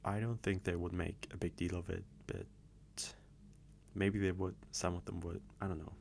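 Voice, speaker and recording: A man's voice: deep voice, level tone, normal speed, gravelly, darkish tone, a little hiss or hum. A man chatting casually through viewer questions, rambling and hesitant with many restarts. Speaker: audio creator answering viewer questions. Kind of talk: casual Q&A monologue.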